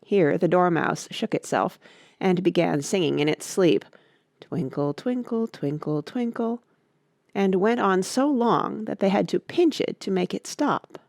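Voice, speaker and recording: A woman's voice: lowish voice, moderate pace, gravelly, a little mellow, dry recording, studio quality. A woman reading scripted storybook narration as an audiobook narrator.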